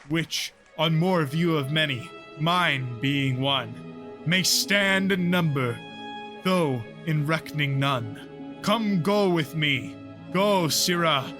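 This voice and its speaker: A man playing a genial, stately old lord and father, measured and declamatory, in a Shakespearean audio play. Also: a little high.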